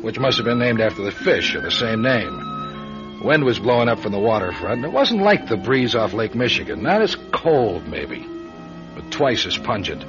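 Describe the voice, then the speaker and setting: An actor playing a wry, deadpan private eye from Chicago in an old-time radio detective drama. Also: male voice, lowish voice, moderate pace. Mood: wry narration. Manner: dry, deadpan wisecracks. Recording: dull radio recording with music behind.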